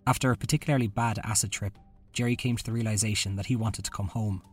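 A man's voice: on the low side, fairly even intonation, fast talker, slightly rough, faint music behind. A man hosting a podcast, reading a scripted true-crime narration. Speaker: podcast host.

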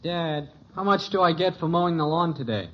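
A young man acting a teenage boy in a 1950s radio comedy, blunt and unimpressed. His high voice stays deadpan. The old broadcast recording is muffled, with clear noise. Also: a little fast, close to an everyday voice. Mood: bargaining. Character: blunt, mercenary teenage son, unimpressed by girls.

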